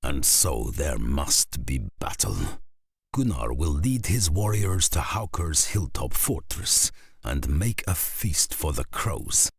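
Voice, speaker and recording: Very deep, gravelly saga narrator. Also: male voice, big pitch swings, no room sound, clean studio sound, exaggerated voice. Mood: grave, ominous. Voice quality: gravelly, husky. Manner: portentous, slow storytelling. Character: ominous saga-telling Norse narrator, grave and fateful. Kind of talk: dramatic scripted narration.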